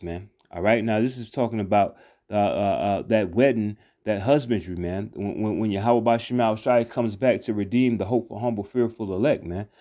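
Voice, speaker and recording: A man teaching scripture in a casual, rambling way, full of fillers and restarts, saying "man" after many phrases and reading verses slowly. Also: mid-range voice, darkish tone. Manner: reads verses slowly and deliberately. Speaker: street preacher. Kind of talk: informal scripture teaching over a screen recording. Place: not seen, voice-over on a phone screen recording.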